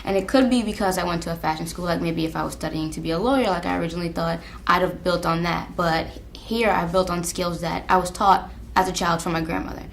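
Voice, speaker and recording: Low-pitched young American woman, candid. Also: fairly even intonation, fast, gravelly, hardly any pauses, a little hiss or hum. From Long Island, New York, USA. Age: 20s. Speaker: college alumna being interviewed. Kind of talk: candid documentary interview.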